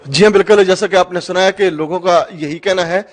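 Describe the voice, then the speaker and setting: A male reporter with a notably high-pitched voice. He delivers a formal news report from the field. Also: steady tone, a little fast.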